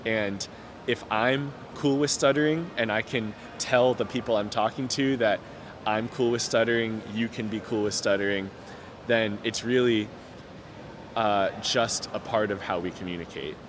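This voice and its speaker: A man's voice: lowish voice, normal speed. A young man who stutters, telling his personal story in an earnest, open way, with frequent repeated sounds, broken-off words and many fillers. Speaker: interviewee. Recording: noticeable outdoor background noise.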